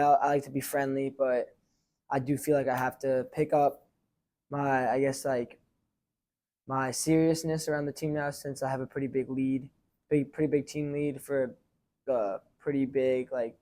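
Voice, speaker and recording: A teenage boy and high school goalie answering interview questions casually, rambling with many fillers and restarts. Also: flat delivery, moderate pace, warm tone. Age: teens.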